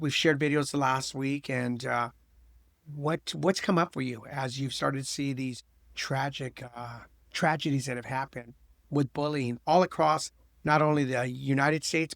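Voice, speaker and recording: A man's voice: mid-range voice, fairly even intonation, measured pace, creaky, fairly bright tone, no echo, clean studio sound. A man hosting a podcast with his daughter, chatting loosely and joking with many fillers. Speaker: podcast host. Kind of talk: casual chat.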